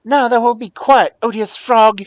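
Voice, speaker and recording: A man's voice: very high voice, fairly even intonation, unhurried, no echo, pitched above the performer's own voice. A man voicing an angry, haughty young princess in a fairy tale, indignant and archaic in phrasing. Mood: furious. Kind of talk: fairy-tale storybook character voice.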